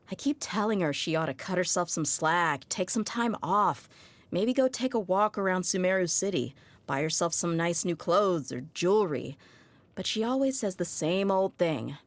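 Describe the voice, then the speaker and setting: A woman's voice: lowish voice, lightly acted. A woman voicing a tough, easygoing young desert mercenary in a video game, speaking in a casual, confident, wry and plain-spoken way. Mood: warm, candid. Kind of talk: scripted game character voice lines.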